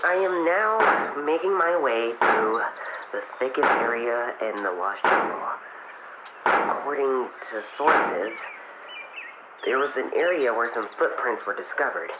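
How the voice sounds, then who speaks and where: A man's voice: mid-range voice, animated, a little slow. A man voicing an earnest young male fox adventurer recording audio drama logs, speaking hesitantly and trailing off mid-thought through a radio-log filter. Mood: focused, serious. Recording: muffled lo-fi radio sound, clear background noise.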